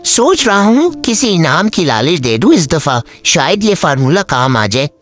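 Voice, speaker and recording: A man's voice: a little high, sing-song, moderate pace, a bit bright, faint music behind. A man playing a concerned middle-aged father, strict yet indulgent, in a children's moral story. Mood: worried.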